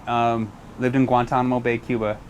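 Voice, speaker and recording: A man's voice: medium pitch, level tone, normal speed, slight background noise. A cigar lounge owner with an El Paso Texan accent, speaking earnestly in a casual interview and often repeating words as he starts a sentence.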